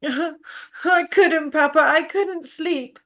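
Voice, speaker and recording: A performer playing a pleading, sorrowful young boy in a stage drama, speaking in simple, halting phrases. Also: high voice, fairly bright tone, full character voice. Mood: anxious, sad.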